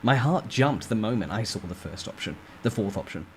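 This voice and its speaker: A man reading a novel aloud as a storyteller, with expressive, theatrical emphasis in a dramatic first-person narration. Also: fairly low pitch, a little fast, slight background noise.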